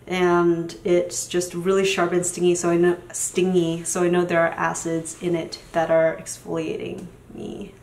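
A woman's voice: fairly low pitch, roomy sound. A young woman in her twenties, a beauty vlogger whose first language was Chinese, chatting in a rambling, casual way at a camera in a small room. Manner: chatty, rambling, trails off into mumbles. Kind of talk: casual chat.

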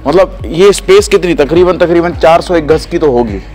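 Upbeat guy vlogging a gym at night, holding his phone, bit high-pitched. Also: expressive, normal speed, darkish tone, soft background music. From Pakistan. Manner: chatty, enthusiastic, asks questions. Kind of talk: casual walking vlog tour. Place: outdoor and indoor gym at night. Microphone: handheld phone mic.

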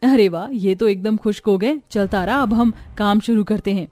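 A woman voicing a poor young village sister, earnest and expressive, in an animated moral story. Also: medium pitch, normal speed, no echo, faint music behind, natural voice. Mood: eager.

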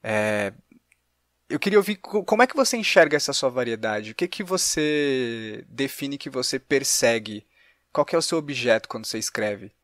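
A man's voice: mid-range voice, lively intonation, normal speed, fairly bright tone, no echo. Dry-recorded Brazilian man interviewing. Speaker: podcast host and interviewer. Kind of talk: conversational interview.

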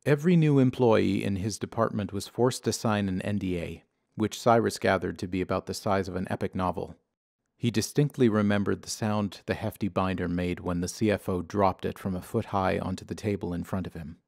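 Low gravelly male story narrator. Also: a little mellow, no room sound, studio quality. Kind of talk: scripted audio drama narration. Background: music.

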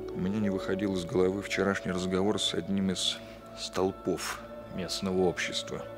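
An actor playing a stern, methodical police captain in a 1960s Soviet radio play, deliberate, authoritative and dryly ironic. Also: male voice, low voice, completely flat delivery, music in the background, natural voice. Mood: reflective.

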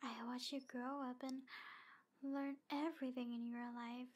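Slow, high-pitched obsessive demon girl acted by a woman. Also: flat delivery, a little mellow, lo-fi sound. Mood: wounded, possessive. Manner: breathy, halting delivery with whispered phrases and giggles. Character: jealous, obsessive female demon in love, taunting and wounded. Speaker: audio roleplay performer. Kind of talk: ASMR roleplay.

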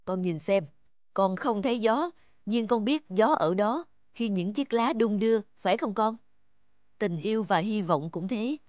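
An actor voicing a gentle, patient middle-aged father in an animated children's story, with a tender, measured, explanatory manner. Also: medium pitch, no echo, highs cut off, natural voice. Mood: tender, reassuring.

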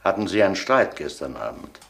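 An actor playing a persistent police inspector in a crime radio drama, putting terse, probing questions. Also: male voice, sits low, big pitch swings, brisk, vocal fry, exaggerated voice. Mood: pressing. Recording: faintly noisy old radio drama recording.

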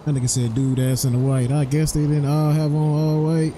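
Mellow slangy man livestreaming a game. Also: fairly high pitch, completely flat delivery, fairly quick, slight background noise. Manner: slangy, profane, blunt. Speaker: game streamer. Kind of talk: casual livestream chat over gameplay. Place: indoors at a computer, livestreaming.

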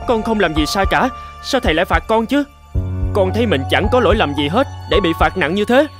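A man voicing a proud, eager young sword student, earnest and quick to protest, in a cartoon. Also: sits high, animated, music in the background. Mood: indignant protest. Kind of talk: scripted cartoon dub.

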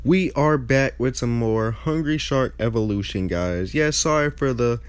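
A man's voice: mid-range voice, unhurried, clean tone. A young man hosting a gaming channel, chatting casually with a nasal, stuffed-up voice and often losing his train of thought. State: congested. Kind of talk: casual chat over gameplay.

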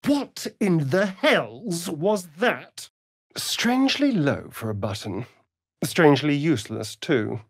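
An actor playing a vain, sardonic, centuries-old vampire spawn in a video game, with an arch, drawling, flamboyant delivery. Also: male voice, on the high side, sing-song, takes it slowly, breathy edge, no echo, studio quality, full character voice. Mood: wry, musing. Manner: arch, drawling, swinging from purrs to shrieks. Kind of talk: theatrical video game character acting.

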